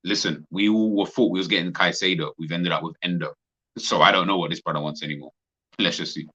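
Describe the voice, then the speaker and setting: A young man, co-hosting a casual football chat, slangy and animated with frequent laughter. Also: low-pitched, quick pace, husky, dull audio. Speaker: podcast co-host.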